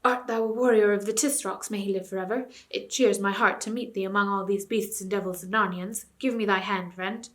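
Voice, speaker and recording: A woman's voice: mid-range voice, steady tone, a little fast, hardly any pauses, a bit bright, lightly acted. A woman reading a stern, courtly young king. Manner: stern, courtly, old-fashioned speech.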